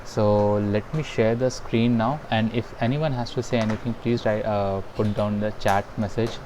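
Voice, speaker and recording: A man giving a live online programming lesson over a video call. He talks in a halting, hesitant way, full of fillers and restarts. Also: fairly low pitch, fairly even intonation, noisy background. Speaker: instructor. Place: home in a rainy town.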